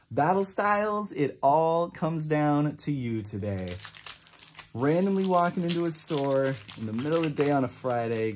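A man in his twenties giving casual, enthusiastic pack-opening commentary, chatty and quick to drop short exclamations. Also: medium pitch, a little mellow. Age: 20s. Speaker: trading card channel host. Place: indoor room with shelves of collectibles.

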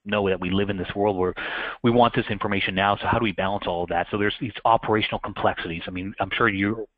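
A man's voice: on the low side, steady tone, fast talker, dry recording. A Canadian man in his thirties presenting a business webinar in a conversational way, with frequent fillers and restarts. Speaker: channel account manager presenting a webinar. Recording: dry, muffled web call audio.

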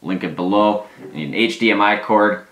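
A man's voice: lowish voice, brisk, a bit bright, slight background noise. A man in his thirties giving a casual, informal explanation to camera as a video host. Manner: informal, conversational. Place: small domestic room at a table, indoors. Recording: roomy echo, music behind.

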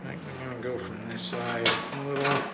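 A man's voice: medium pitch, moderate pace. A man hosting a casual workshop build video, talking loosely with lots of ums while he works. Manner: relaxed, rambling, many ums while working. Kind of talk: casual hands-on how-to talk. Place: garage workshop. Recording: echoey shop with loud background noise.

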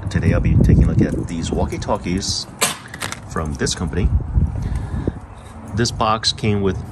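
A man's voice: deep voice, normal speed. A man giving a casual, matter-of-fact hands-on product review as the host of his channel. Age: adult parent of young children. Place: outdoors, patio table and residential sidewalk. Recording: clearly noisy outdoor recording, traffic hum.

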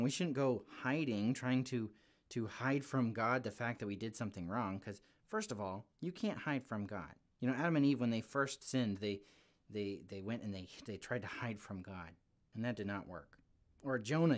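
Male, medium pitch, playing an earnest young puppet character; informal, conversational storytelling for children. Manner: chatty, earnest, informal with frequent "like". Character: Douglas, earnest friendly young male green puppet kid. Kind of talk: casual storytelling devotional for children.

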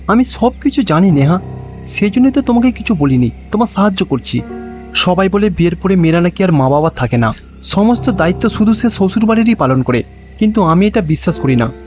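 A man acts out a gentle young husband in a cartoon drama, reassuring and earnest. His voice sits high, and the recording is dull with music behind it. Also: expressive, brisk.

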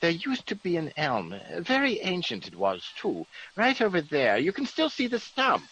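An actor playing a fussy, sceptical British gentleman in a vintage radio drama, genteel, blustery and exclamatory. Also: high-pitched, wildly swooping pitch, moderate pace, highs cut off, full character voice. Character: fussy, excitable, sceptical English gentleman of the manor. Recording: noisy vintage radio recording.

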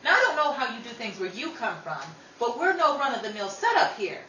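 A woman's voice: on the high side, big pitch swings, fairly quick, pushed and bright. A woman playing a hot-tempered, protective older sister in a 1930s radio drama, brash, scrappy and slangy. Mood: confrontational. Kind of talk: old-time radio drama acting. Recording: roomy, distant mic, faint noise.

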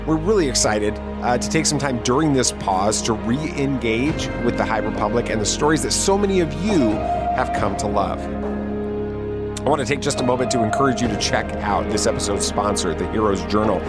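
A man hosting a podcast, delivering scripted narration to his listeners. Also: mid-range voice. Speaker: podcast host. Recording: loud guitar music under voice.

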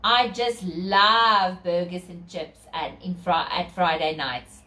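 A woman's voice: lowish voice, steady tone, takes it slowly, sharp tone. A middle-aged South African woman reading a picture book aloud to children, with theatrical, sing-song emphasis and chatty asides. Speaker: children's storyteller. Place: small bedroom, indoors. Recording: roomy echo from camera distance.

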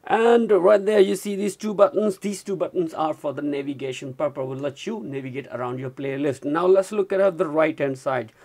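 Male product reviewer, slightly high pitch, informal hands-on demo delivery. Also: steady tone, moderate pace, hardly any pauses, darkish tone. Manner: informal, repeatedly addresses viewers as guys. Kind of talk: hands-on gadget review demonstration. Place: indoors at a desk.

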